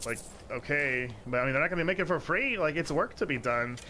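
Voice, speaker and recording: A man in his twenties giving casual, rambling commentary over gameplay, full of "like" fillers and jumping up in pitch when excited. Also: a little fast. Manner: rambling, heavy use of "like" fillers. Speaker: let's play commentator. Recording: steady noise behind voice.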